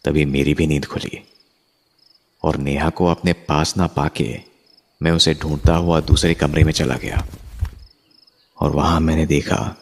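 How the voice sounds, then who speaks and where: A man's voice: very deep voice, a little mellow, slight background noise. An actor playing an easygoing, dismissive husband and young father in a horror audio drama, speaking colloquially and telling the story. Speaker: actor and first-person narrator. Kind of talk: Hindi horror audio drama, acted dialogue and narration.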